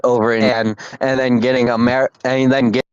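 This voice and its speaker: A man's voice: medium pitch, flat delivery, fairly quick, smooth, no room sound, dull audio. A man hosting a casual podcast, rambling and repeating himself with lots of fillers. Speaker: podcast host.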